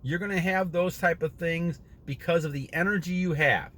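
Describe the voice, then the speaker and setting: A man in his sixties giving a casual motivational monologue to his viewers, rambling and earnest, often repeating himself and clearing his throat. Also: fairly high pitch, normal speed, a bit bright. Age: 60s. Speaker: channel host.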